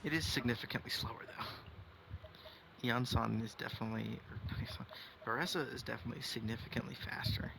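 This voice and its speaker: A man commentates casually on a video game in a medium-pitched voice with plenty of creak. He muses aloud and corrects himself. Clear game noise runs behind him. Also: fast talker. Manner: musing, self-correcting. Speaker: game streamer. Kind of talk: casual gameplay commentary, reading out dialogue choices.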